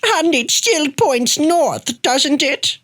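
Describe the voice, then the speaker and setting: A woman's voice: sits high, lively intonation, slow, fairly bright tone, heavily acted. A woman voicing a feisty, stubborn grandma in her eighties in an emphatic, dramatic radio play style. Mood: feisty, defiant. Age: older. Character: feisty, stubborn 81-year-old grandma, sharp as a tack. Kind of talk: hobby radio play acting.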